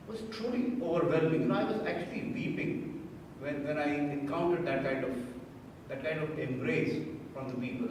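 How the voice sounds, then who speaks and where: A man from India speaking earnestly and with feeling in an interview, often restarting his words. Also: on the high side. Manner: earnest, emotional, with repeated restarts. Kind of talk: reflective interview. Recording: echoey hall, distant mic, noisy.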